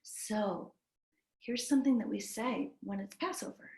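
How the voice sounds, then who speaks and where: A woman reading a storybook aloud to young children in an animated, expressive way, breaking off to chat with them. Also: mid-range voice, a little slow, creaky. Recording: roomy, echoing livestream sound.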